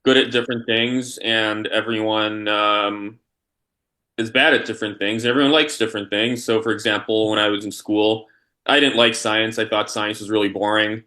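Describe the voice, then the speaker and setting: A man with a somewhat low voice and a bright, sharp edge to it. He was born in Poland and grew up in the United States. He talks as an interview guest, choosing his words deliberately and often starting a phrase over. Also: fairly even intonation. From Polish-born, raised in the United States. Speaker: interview guest, history scholar and university teacher. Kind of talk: conversational interview answers.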